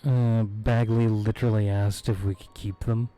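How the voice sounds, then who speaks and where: A man streaming a video game, hoarse from losing his voice, chatting in a laid-back, rambling way with frequent chuckles, close on his microphone. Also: on the low side, flat delivery, moderate pace, warm tone, faint music behind. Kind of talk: casual live-stream chat. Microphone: close desk microphone.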